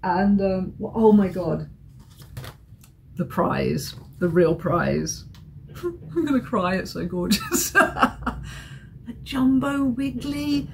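Young woman, excitable rambling vlog chat, creaky voice, slightly hoarse, hotel room, faint hiss. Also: medium pitch, drawn-out words, pauses often. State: sore throat, hungover. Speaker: vlogger.

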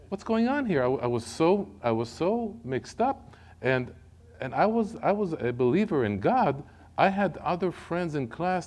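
A middle-aged Israeli man with an Israeli accent giving a testimony sermon from a church podium, telling his story in an animated way and often repeating words as he goes. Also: on the high side. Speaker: guest speaker. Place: church sanctuary, large room.

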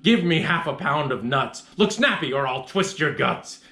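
A man voicing a greedy, bossy beast that shouts demands from inside a tummy, in a children's poem. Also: fairly high pitch, lively intonation, moderate pace. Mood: hungry, bullying. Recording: roomy echo.

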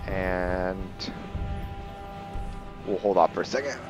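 A man giving casual roleplay gameplay commentary, thinking aloud in short, halting phrases as he plays. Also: sits low. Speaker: gaming video host, player. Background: game music.

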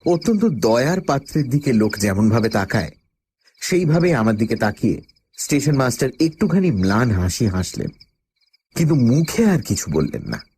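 Male actor as plucky proud traveller, lively pitch swings, dramatic first-person ghost-story narration, faint noise behind. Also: moderate pace, close to an everyday voice. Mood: suspenseful.

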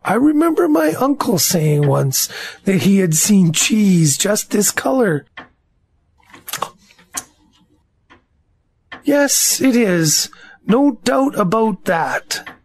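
A woman playing a slow, dreamy, honey-loving bear in an audio drama, musing and ponderous. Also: mid-range voice, very animated, darkish tone, breathy edge, heavily acted.

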